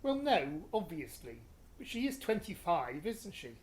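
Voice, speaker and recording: An English actress playing a dry, practical, sardonic middle-aged parent who grumbles, in a radio play. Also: female voice, lowish voice, very animated, measured pace, poor audio.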